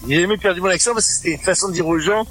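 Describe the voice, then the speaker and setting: 50s guy, northern french ch'ti accent, talks real quick, kinda high voice, music faint behind. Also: poor audio. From northern France. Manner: chatty, animated, fast talker. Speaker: comedian. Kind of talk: interview clip.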